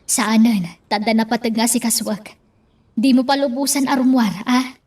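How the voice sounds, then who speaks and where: A woman's voice: fairly high pitch, steady tone, moderate pace, a little mellow, recorded from a distance, natural voice. An actress playing a stern mother figure in a radio drama, giving firm instructions.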